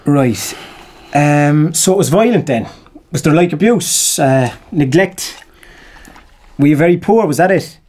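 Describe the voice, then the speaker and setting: A high-pitched, flustered screenwriter. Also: male voice, animated, unhurried, a little mellow. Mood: anxious, flustered. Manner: hesitant, stammering, repeats himself. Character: anxious, pleading young male screenwriter, earnest and flustered. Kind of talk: audio drama acting.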